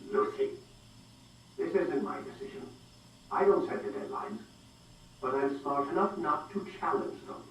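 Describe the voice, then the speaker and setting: A man's voice: a little high, huge pitch swings, unhurried, pauses often, highs cut off. Echoing, distant, condescending male boss. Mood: irritated, condescending. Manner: pointed, condescending. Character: condescending, demanding male boss scientist, cold and domineering. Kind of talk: video game cutscene acting. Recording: very echoey, distant, faint noise.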